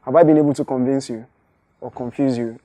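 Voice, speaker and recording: Mellow-voiced Nigerian man, reflective and unhurried. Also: mid-range voice, fairly even intonation. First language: Igbo. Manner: thoughtful, unhurried, with frequent restarts and fillers. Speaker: hip-hop artist. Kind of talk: reflective interview answers.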